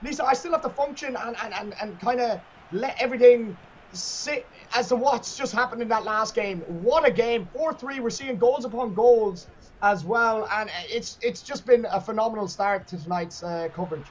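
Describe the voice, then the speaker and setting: High-voiced excitable male esports commentator. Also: moderate pace, muffled recording, a little hiss or hum. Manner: excitable, shouting at goals. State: losing his voice. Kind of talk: play-by-play commentary.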